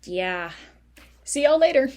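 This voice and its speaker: A woman's voice: high-pitched, animated, slow pace. A woman playing a blustering but easily spooked young friend in an audio play. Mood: sheepish, nervous.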